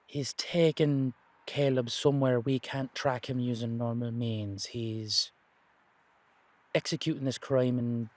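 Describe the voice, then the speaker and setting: A man acting a shrewd, streetwise investigator in an audio drama, speaking deliberately and persuasively in halting, emphatic phrases. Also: mid-range voice, steady tone, a little mellow, lightly acted. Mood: musing, persuasive. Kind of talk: dramatic audio roleplay dialogue.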